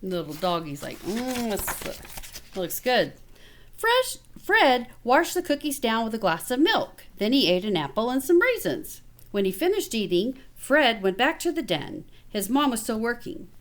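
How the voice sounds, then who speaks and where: A woman teacher reading a picture book aloud to children, expressively and with casual asides. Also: a little high. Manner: expressive, pausing to comment to children.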